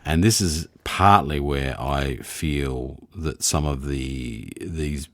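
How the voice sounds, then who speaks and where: A man hosting a conversational podcast interview, thinking aloud in a halting, searching way. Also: extremely low pitch, fairly bright tone, no room sound. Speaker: podcast host.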